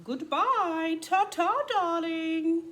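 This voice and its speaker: A woman's voice: very high-pitched, extremely slow, a bit bright, pitched above the performer's own voice, full character voice. A woman voicing a fancy, very proper old aunt, a silver spoon in a children's storybook, with theatrical manners. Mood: grand, affected. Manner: posh, theatrical farewells.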